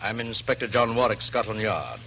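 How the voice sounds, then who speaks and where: Forceful, formal police inspector. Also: male voice, on the low side, animated, moderate pace. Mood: formal, grave. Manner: formal, matter-of-fact. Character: brisk, courteous, composed police inspector. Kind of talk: 1940s radio drama acting. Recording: noisy old 1940s radio broadcast.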